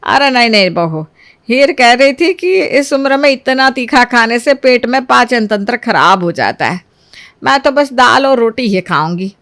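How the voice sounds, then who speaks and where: A woman's voice: fairly high pitch, lively intonation, unhurried, a bit bright. A woman storyteller voicing an older mother-in-law who is kindly, agreeable and homely in manner. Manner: approving, homely.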